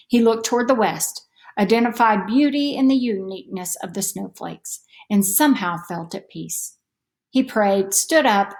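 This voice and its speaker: A woman reading a children's story aloud in an expressive, deliberate storytelling manner. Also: medium pitch, creaky. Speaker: storybook reader.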